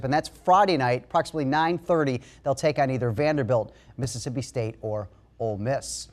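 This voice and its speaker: High-voiced American male sportscaster. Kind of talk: TV sportscast commentary, scripted narration over highlights.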